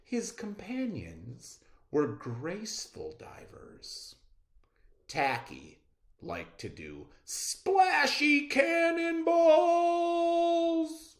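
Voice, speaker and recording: A reader narrating a children's story aloud in a theatrical, expressive style. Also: high-pitched, very slow, reverberant.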